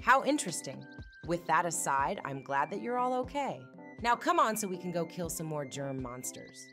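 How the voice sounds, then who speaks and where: A woman playing a confident adult monster fighter who mentors kids with calm command in a cartoon comic dub. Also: lowish voice, normal speed, faint music behind. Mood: calm, serious.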